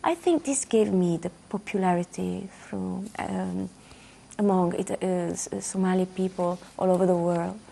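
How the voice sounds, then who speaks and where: Soft-spoken woman with halting speech. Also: on the low side, creaky, a little hiss or hum. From Italy. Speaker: singer, interview guest. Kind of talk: conversational interview.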